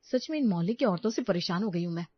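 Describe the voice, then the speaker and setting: A woman's voice: mid-range voice, animated, quick pace, husky, no echo, muffled recording. A woman playing a young working daughter-in-law in a cartoon story, chatty, polite and given to complaint. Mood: weary, patient.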